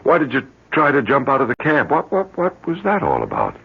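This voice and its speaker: Anxious, probing father in vintage radio play; animated, husky delivery, dulled old radio sound. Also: mid-range voice, fairly quick, heavily acted. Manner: earnest, questioning. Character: worried, probing father of a grown daughter. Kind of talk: old-time radio drama acting. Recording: muffled old radio recording.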